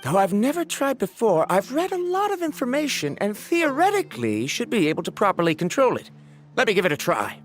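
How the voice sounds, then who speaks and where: A woman playing an earnest, bookish young woman scholar in a fantasy video game, with measured, precise wording. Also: mid-range voice, wildly swooping pitch, faint music behind. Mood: tentatively confident. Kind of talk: animated video game voice acting.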